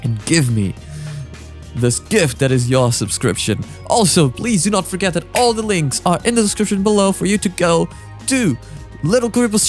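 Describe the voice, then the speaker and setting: Effusive man hosting a reaction video. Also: sits high. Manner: effusive, flowery, self-deprecating. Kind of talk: casual, rambling intro and reaction commentary.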